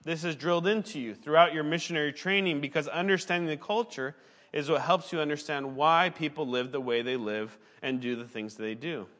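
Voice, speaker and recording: A man's voice: a little high, brisk, fairly bright tone, in a large hall. A man, a pastor and former missionary, preaching a sermon in an earnest, conversational way and now and then correcting himself mid-sentence.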